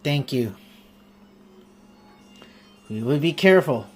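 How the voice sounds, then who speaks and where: Low-voiced, unhurried woman game streamer. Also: expressive, breathy edge, soft background music. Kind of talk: casual live gameplay commentary and reading.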